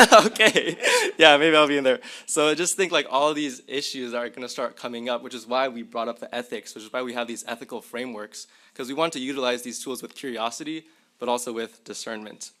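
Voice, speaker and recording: A man's voice: mid-range voice, sharp tone. A young man presenting at a symposium, casually chatting to the audience while demonstrating tools live. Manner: chatty, addresses audience directly. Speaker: tech presenter. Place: university lecture hall.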